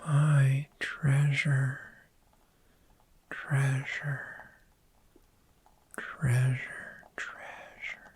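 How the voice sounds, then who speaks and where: A performer voicing a gentle, friendly dragon in human form for a sleep ASMR roleplay, in a soft breathy whisper with unhurried, soothing pauses. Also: mid-range voice, a little hiss or hum. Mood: quietly possessive, gentle.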